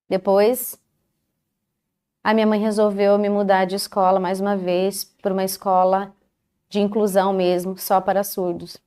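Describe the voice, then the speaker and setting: A woman recounting her own life story in a calm, measured way, pausing often between short phrases. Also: medium pitch. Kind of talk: first-person life story in a TV interview.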